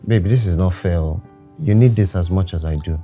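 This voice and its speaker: A man's voice: low-pitched, a little fast, mellow, slightly rough, faint music behind. A young man with a Nigerian accent playing a husband who argues defensively and pleads. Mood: pleading. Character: husband, defensive then soothing.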